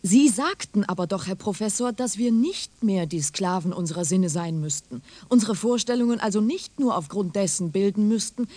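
Radio drama sceptic, acted: courteous, formal, bemused questioner, medium pitch, dry studio-style sound. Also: normal speed, hardly any pauses, dark tone, dry recording, close to an everyday voice. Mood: sceptical, polite. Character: polite, sceptical listener questioning a professor. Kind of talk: German radio drama acting.

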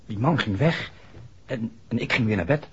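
A man's voice: medium pitch, animated, moderate pace, husky. An actor playing an anxious, earnest young man who speaks hesitantly and stammers, in a 1960s Dutch radio drama. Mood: uneasy. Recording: dull 1960s radio recording.

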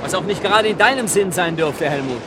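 An actor in a German radio drama playing a secretive husband outdoors by the sea. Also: male voice, high-pitched, animated, fairly quick. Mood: anxious. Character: nervous husband, secretive, afraid of being overheard. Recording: loud surf and wind noise. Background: ocean waves.

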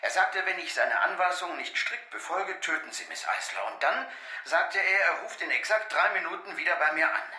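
Ein Schauspieler spielt in einem deutschen Krimihörspiel einen verstörten, stockend aussagenden Bankdirektor mittleren Alters. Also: male voice, a little high, lively intonation, sharp tone. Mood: shaken, frightened. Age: middle-aged. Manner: agitated, halting. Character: shaken bank manager victim, man in his forties. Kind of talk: German radio crime drama acting. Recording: roomy, reverberant interrogation room sound.

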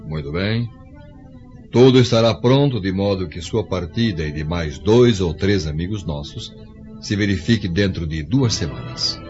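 An actor playing an authoritative, courteous Roman emperor in a radio drama, stately and formal. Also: male voice, low voice, animated, normal speed. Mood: satisfied. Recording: dull lo-fi recording, music behind.

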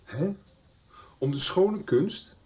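An actor playing a blunt, teasing young adult son in a family radio soap. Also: male voice, on the high side, lively intonation, very slow, dark tone, recorded from a distance. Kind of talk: 1990s radio soap acting.

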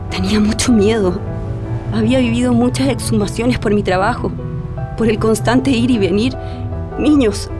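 An actress with a Chilean accent playing a grieving daughter giving intimate, emotional testimony in a radio drama. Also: female voice, fairly high pitch, close to an everyday voice. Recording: music behind the voice.

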